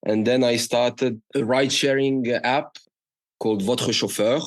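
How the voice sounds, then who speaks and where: A man from Morocco talks about himself in a conversational interview. His English is non-native, with a French accent. The pitch is average for a man and the sound is dry, with no room around it. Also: steady tone, unhurried, no echo. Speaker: startup founder, podcast guest.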